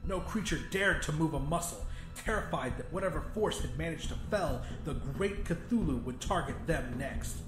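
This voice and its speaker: Higher-pitched male horror narrator, roomy sound. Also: expressive, normal speed, hardly any pauses. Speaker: audiobook narrator. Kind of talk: scripted horror story narration. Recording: roomy echo with music. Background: music.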